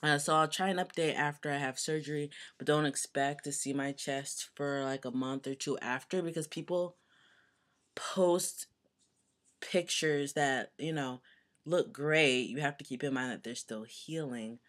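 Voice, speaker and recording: Young adult male vlogger, mid-range voice still settling lower, rambling and sincere, casual home-video delivery. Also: a little slow, fairly bright tone. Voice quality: voice still deepening, not yet fully low. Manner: chatty and earnest, rambling with many fillers. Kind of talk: casual personal video update.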